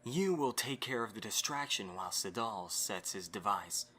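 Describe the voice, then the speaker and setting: Man playing a suave young schemer, animated and theatrical. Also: lowish voice, normal speed, a little hiss or hum. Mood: confident, scheming. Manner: theatrically polite. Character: courteous, scheming young male host with a plan. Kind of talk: amateur audio drama acting.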